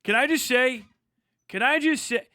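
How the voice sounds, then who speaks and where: A man's voice: extremely high pitch, moderate pace, pushed and bright, no echo, clean studio sound. A man hosting a livestream with casual, rambling, sarcastic chat and plenty of swearing, sounding tired. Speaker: livestream host.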